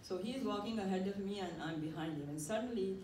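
A woman's voice: mid-range voice, quick pace, a little mellow. An older woman at a workshop telling a personal story in a conversational, hesitant way with fillers, speaking into a handheld mic in a community room. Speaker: workshop participant. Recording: echoey room, mic at a distance, noisy.

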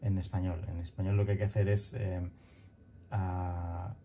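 A man in his thirties giving a recorded grammar lecture over slides, talking in a thoughtful, halting way with many fillers and restarts, from a small room at home on a headset. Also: sits low, level tone, dark tone, slight background noise. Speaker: grammar teacher.

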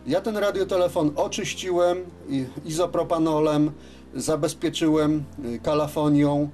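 A man gives an unscripted workshop demonstration as a repair technician, explaining step by step with hesitant pauses. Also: fairly high pitch, flat delivery, a little mellow, slight background noise. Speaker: radio repair technician presenting his work. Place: radio service workshop, indoors at a bench.

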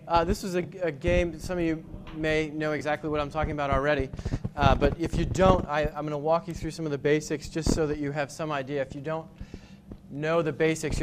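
A man from New England giving a conference talk with slides, explaining step by step with frequent pauses and restarts. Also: on the high side, background noise. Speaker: conference speaker. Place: hotel conference room with projector screen.

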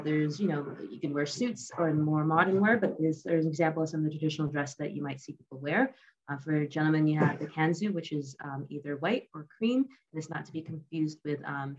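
A woman's voice: deep voice, highs cut off. A woman from Uganda walking through her slides in a casual presentation on a video call.

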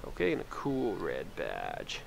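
A middle-aged man giving a casual, unscripted car walkaround, relaxed and thinking aloud, recorded on a handheld camera. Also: low voice, a little slow. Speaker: car exporter presenting a vehicle. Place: outdoors by a parked car and inside it. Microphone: handheld camera microphone. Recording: outdoor handheld camera, clear ambient noise.

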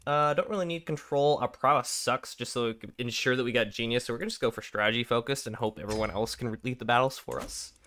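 A young man with a raspy voice from being sick, casually commenting on a strategy game, thinking aloud and clearing his throat often. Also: mid-range voice, quick pace, few pauses, bright, forceful tone. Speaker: gameplay commentator. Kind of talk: casual let's play commentary.

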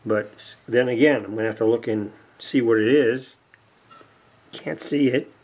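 A man giving unscripted, casual narration of hands-on repair work, halting and muttering to himself as he goes. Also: mid-range voice, darkish tone, dull audio. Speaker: hobbyist radio repairer, video host. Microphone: camera mic near the workbench.

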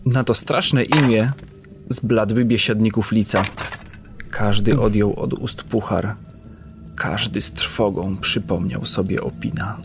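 A man's voice: on the low side, fairly even intonation, slow pace, over music. A man narrating a scripted legend in a literary, dramatic storytelling manner.

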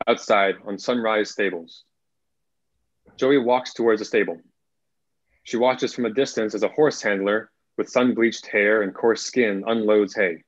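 A young man reads screenplay directions as a narrator. His voice sits on the low side, and it comes through a video call, dry and slightly lo-fi. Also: steady tone, unhurried, dry recording. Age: young adult. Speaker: narrator reading stage directions. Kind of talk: scripted narration. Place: indoor room at home, video call.

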